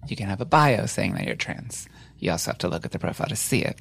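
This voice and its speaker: A woman with a deep voice, a podcast guest frankly telling personal stories with wry humor in a casual chat. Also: lively intonation, fast, gravelly, husky. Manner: frank, wry storytelling with laughs.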